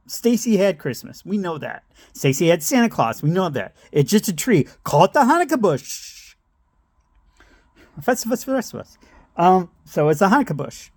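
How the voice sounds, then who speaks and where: Middle-aged man, gravelly, dry close sound; rambling anecdote teller who loses his own thread. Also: high-pitched, very animated, normal speed, no echo. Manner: meandering, self-interrupting, laughs at himself. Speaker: storyteller.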